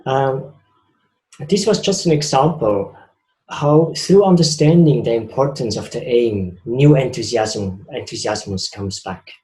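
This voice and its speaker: A man's voice: medium pitch, lively intonation, a little slow, warm tone, roomy sound, low-quality recording. A man with a Swiss accent giving a reflective spiritual talk, earnest and repeating phrases for emphasis. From Switzerland. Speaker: spiritual speaker.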